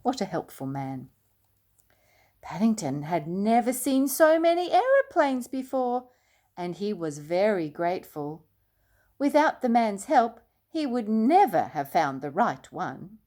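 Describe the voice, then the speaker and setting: An older woman reads aloud to young children. Her delivery is slow and expressive with lively swings in pitch, and she pauses for simple asides and questions. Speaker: children's story reader. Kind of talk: storytime read-aloud with asides to young listeners.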